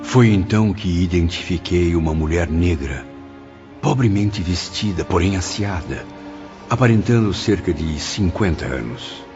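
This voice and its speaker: Actor as compassionate spirit narrator, lowish voice, earnest, troubled, audio drama, clear music behind. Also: male voice, lively intonation, unhurried. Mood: earnest, curious. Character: compassionate, awed spirit man recounting his visions. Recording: dull lo-fi audio, music bed.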